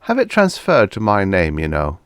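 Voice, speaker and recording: A man's voice: low voice, huge pitch swings, normal speed, fairly bright tone, smooth, dry recording, clean studio sound, heavily acted. A man voicing a smug, cheerfully callous businessman who gives orders in a chummy, airy way, in an audiobook story. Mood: chummy, chirpy. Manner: chummy, airy, casually commanding.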